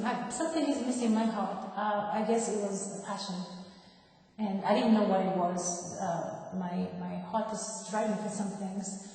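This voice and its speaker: A woman in her thirties from Japan with a Japanese accent, telling her life story haltingly as she searches for words in English, her second language. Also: mid-range voice, a little mellow. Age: 30s. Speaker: former professional boxer giving a testimony. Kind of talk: personal life-story talk. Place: large reverberant room. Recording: echoey reverberant hall, distant mic.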